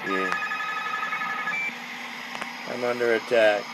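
Low-voiced man, mock-dramatic, noisy recording. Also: fairly even intonation, very slow. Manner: mock-dramatic, playful, repeats short phrases. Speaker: CB radio hobbyist filming his radio. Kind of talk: casual, joking commentary. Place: dim indoor radio bench.

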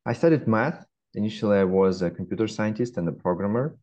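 A man in his forties with a Russian accent gives measured interview answers, often pausing with fillers. His voice is very low, dark and mellow. The webcam call audio comes through dull and lo-fi. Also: expressive. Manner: measured, frequent hesitations. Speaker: guest, fintech founder. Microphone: laptop webcam.

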